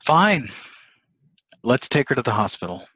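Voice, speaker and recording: A man's voice: mid-range voice, sing-song, unhurried, fairly bright tone, breathy edge, no echo, muffled recording, heavily acted. A man playing a defensive, insistent cheating husband in a stage play reading. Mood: irritated.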